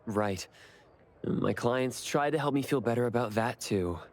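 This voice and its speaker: A man's voice: mid-range voice, measured pace, slight background noise, lightly acted. A man playing an earnest, reserved young man in an anime game, speaking sincerely and with understatement. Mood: reflective. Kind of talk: anime game voice acting, conversational.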